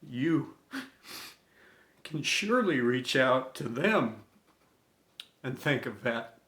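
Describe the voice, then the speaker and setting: A middle-aged American man reading a scripted inspirational tribute in an earnest, reverent way, with deliberate phrasing and dramatic pauses. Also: fairly high pitch, fairly bright tone. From United States. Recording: echoey room sound.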